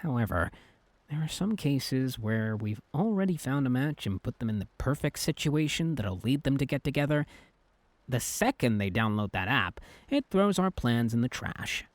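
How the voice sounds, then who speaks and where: A man's voice: mid-range voice, no echo. A man playing a possessive, exasperated young Cupid who is secretly lovesick, in a conversational, emphatic boyfriend roleplay audio drama. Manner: conversational, exasperated, emphatic, hesitant when flustered.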